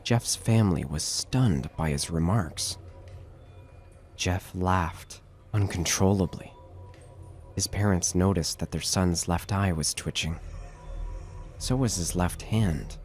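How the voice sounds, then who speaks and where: A man's voice: deep voice, takes it slowly, pauses often, darkish tone. A man giving scripted narration of a horror story as the narrator of an audio drama. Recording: music bed under narration.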